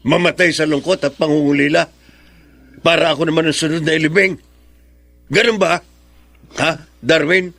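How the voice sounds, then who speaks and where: A man's voice: a little high, steady tone, moderate pace, gravelly, pauses often, a bit bright, no room sound. A man playing an irritable, defensive widowed father in middle age, challenging his listener in a radio drama. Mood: irritated. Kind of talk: Filipino radio drama acting.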